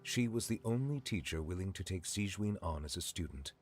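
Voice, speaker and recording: Deep-voiced, formal chief judge. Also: male voice, lively intonation, husky. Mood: courteous, composed. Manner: formal, measured, precise wording. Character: formal, composed, courteous male chief judge. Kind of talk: video game voice acting. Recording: music under the voice.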